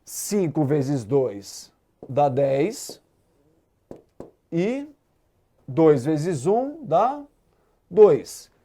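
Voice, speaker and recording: A man's voice: on the high side, sing-song, drawn-out words, pauses often, warm tone. A man with a Brazilian accent teaching a math lesson, explaining step by step and checking understanding with short tag questions. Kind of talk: explanatory exam-prep lesson.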